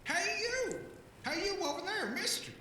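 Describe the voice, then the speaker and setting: A man playing an excitable, folksy old alien vagrant in an audio drama, rambling and stammering in a rough, coarse voice. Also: extremely high pitch, measured pace, heavy reverb, recorded from a distance, a little hiss or hum, heavily acted. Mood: excited, nosy. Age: older. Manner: folksy, rambling, stammering, talks of himself in third person. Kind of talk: audio drama character acting.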